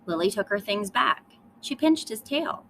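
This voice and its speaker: A woman reads a children's story aloud as a schoolteacher would. She speaks to young listeners with emphatic storytelling and a lively, varied pitch. Faint noise is audible behind her. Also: measured pace, gravelly. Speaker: third grade teacher reading aloud.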